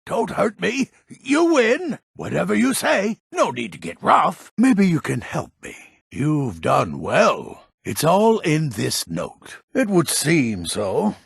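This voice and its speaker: An actor playing a grumpy, suspicious old man in a fantasy video game, with a gravelly, husky voice and a grumbling, wary manner. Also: fairly high pitch, huge pitch swings, slow pace, few pauses, no echo, studio quality, heavily acted. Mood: fearful, then gruff, businesslike. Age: older.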